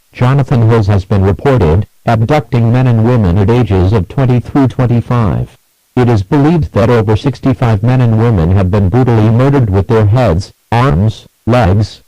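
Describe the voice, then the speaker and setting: A man reading a scripted emergency alert as an announcer, in a measured, even manner through lists and warnings. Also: lowish voice, dry recording, low-quality recording.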